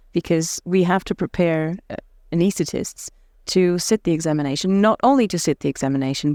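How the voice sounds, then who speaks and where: A woman from Portugal, a native Portuguese speaker, a guest anesthesiologist talking in a lively, animated way. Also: low-pitched, dry recording, clean studio sound. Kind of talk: conference podcast interview.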